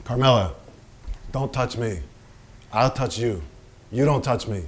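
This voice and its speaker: A volatile, boastful painter, played by a man in a staged play reading. He swaggers and needles in a mid-pitched voice, circling back on his own phrases. His talk is crude, with insecurity under the bragging. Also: unhurried, natural voice. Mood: menacing, taunting. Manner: swaggering, needling, repetitive, profane. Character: brash, volatile, vulgar American male painter, insecure and boastful. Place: staged reading in a barn venue.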